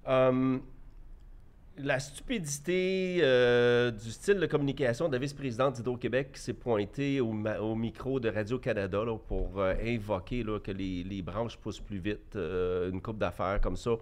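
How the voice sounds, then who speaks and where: A man's voice: medium pitch, moderate pace. A man in his fifties with a Québécois accent, giving his blunt opinions as a guest in a debate, often restarting his sentences. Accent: Québécois French. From Quebec. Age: 50s. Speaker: guest, entrepreneur. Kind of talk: debate interview.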